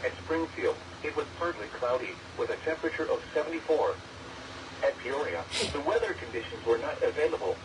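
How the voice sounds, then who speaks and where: A synthetic male text-to-speech voice reading scripted weather announcements over the radio in a formal, measured, segmented way. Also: mid-range voice, animated. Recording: noisy weather radio picked up by microphone.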